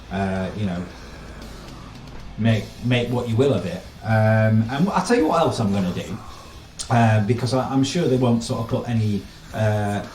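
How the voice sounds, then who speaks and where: A man's voice: on the low side, darkish tone, slight background noise. A man giving casual, sardonic and incredulous commentary on the news, often tossing out rhetorical questions. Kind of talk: casual opinion commentary, reading an article aloud. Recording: echoey room, mic at a distance.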